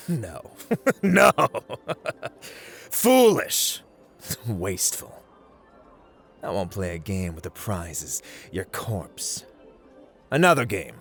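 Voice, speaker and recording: A man's voice: very animated, drawn-out words, gravelly, long pauses, faint music behind, heavily acted. A man playing a playful, haughty god of luck in an ASMR roleplay, theatrical and teasing, drawling his words as he addresses the listener. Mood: scornful, stern. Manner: theatrical, teasing, drawling, swinging between hushed and booming.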